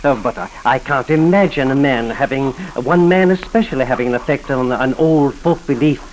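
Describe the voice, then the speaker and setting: An actor playing a courteous, skeptical American professor with a scholarly, questioning manner in a 1940s radio drama. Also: male voice, medium pitch, normal speed, close to an everyday voice. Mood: musing, puzzled. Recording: noisy 1940s radio broadcast recording.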